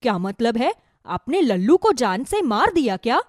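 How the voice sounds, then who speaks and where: A woman voicing a chatty, inquisitive village wife in a cartoon moral story, expressive and gossipy. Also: on the high side, normal speed, no room sound, studio quality. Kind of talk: Hindi animated moral story dialogue.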